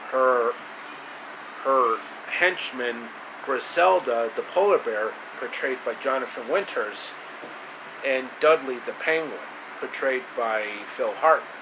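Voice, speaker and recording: Slow, halting male storyteller, noisy room. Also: mid-range voice. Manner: halting, repeats phrases. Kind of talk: improvised storytelling narration. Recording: loud steady room hum and hiss.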